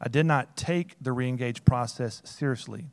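A man reading a personal testimony to a group, plain-spoken and loud. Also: mid-range voice, normal speed. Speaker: speaker sharing a testimony.

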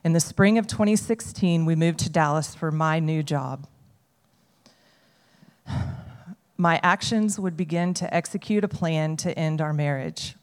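A woman reading a prepared personal testimony to a group, in an earnest, measured way. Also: sits low. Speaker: speaker sharing a testimony. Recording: long hall reverb.

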